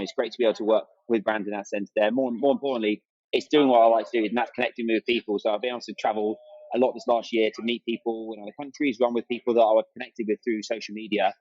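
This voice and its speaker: A man talks at a quick clip in a casual, enthusiastic podcast style. His pitch is average for a man. The sound is dry and close, with a slightly muffled, band-limited quality. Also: a little mellow, no echo. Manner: chatty and enthusiastic, acts out remembered exchanges. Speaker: interview guest, amateur runner and content creator.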